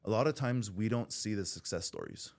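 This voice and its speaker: A man's voice: on the low side, expressive, fairly quick, gravelly, darkish tone. A man from Southern California speaking as a podcast guest, talking candidly and bluntly, swearing freely and restarting his sentences as he rambles.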